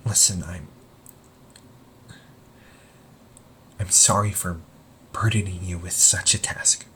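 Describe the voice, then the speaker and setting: A man playing a young supervillain in an amateur audio roleplay, husky and breathy, speaking earnestly and haltingly to the listener. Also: fairly low pitch, slight background noise, natural voice. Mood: tender, reassuring. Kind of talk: amateur audio roleplay, intimate one-sided dialogue to listener.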